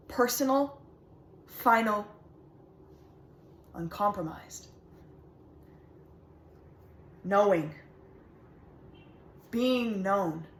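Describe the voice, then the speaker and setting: An intense, reflective lover played by a woman speaks in a dramatic stage monologue. The phrases are deliberate and weighted, set apart by pauses. The voice sits mid-range in an echoey room. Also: medium pitch, slight background noise. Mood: reverent, intense. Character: intense lover reflecting on intimate knowledge and loss.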